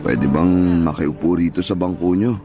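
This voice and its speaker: A man's voice: low voice, moderate pace, over loud music. A man playing a humble, weary older street peddler in a radio drama, plain-spoken and grateful. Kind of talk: Tagalog radio drama acting.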